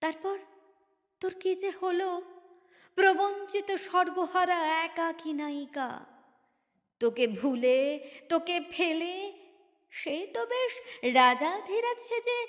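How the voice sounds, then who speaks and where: A woman recites poetry in a high voice, wrapped in long reverb. Her delivery is very slow and theatrical, with deliberate pauses and emotional swells that give each phrase weight. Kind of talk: dramatic Bengali poem recitation.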